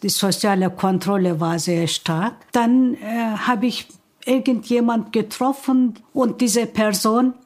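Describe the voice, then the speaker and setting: A woman in her seventies, originally from Turkey, with a Turkish accent, recalling her life slowly and thoughtfully with frequent hesitations. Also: a little high. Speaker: interviewee. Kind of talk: personal recollection.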